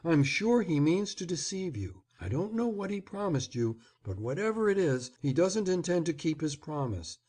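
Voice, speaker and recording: A man's voice: sing-song, unhurried, mellow, heavily acted. A man reading aloud, voicing a defiant, resentful young boy who speaks coldly and insistently. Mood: coaxing. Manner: cold and insistent, low intensity.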